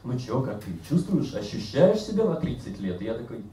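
A man in his thirties doing a self-mocking, deadpan stand-up routine on a bar stage. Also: medium pitch, a little fast, recorded from a distance. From Siberia. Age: 30s. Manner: deadpan, self-mocking, acts out other voices. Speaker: stand-up comedian. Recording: roomy live bar recording from afar.

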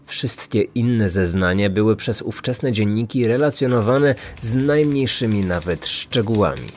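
A man's voice: lowish voice, lively intonation, measured pace, slight background noise. A man hosting a podcast, reading scripted true-crime narration. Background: faint music.

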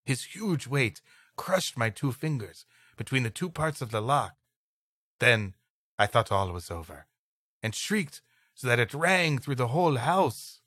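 A man's voice: medium pitch, very animated, unhurried, a bit bright, no room sound, clean studio sound. A man co-hosting a comedy podcast, reading a story aloud and playfully breaking off to riff. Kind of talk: story reading broken up by comic banter.

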